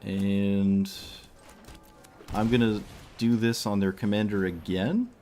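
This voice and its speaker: A man's voice: on the low side, takes it slowly, warm tone, faint music behind. A male streamer losing his voice, thinking aloud as he plays a card game. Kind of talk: casual gameplay commentary.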